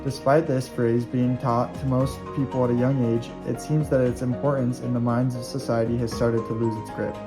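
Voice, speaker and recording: A man reading scripted documentary narration in an earnest, steady way. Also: mid-range voice. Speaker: student narrator. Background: guitar music.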